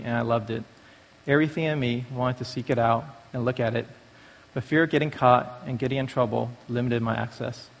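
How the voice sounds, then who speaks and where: Man speaking in a reverberant hall. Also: medium pitch. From born in Taiwan, raised in the United States from age five. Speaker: speaker giving a testimony at a church recovery meeting. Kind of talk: prepared personal testimony. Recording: long reverberant hall echo.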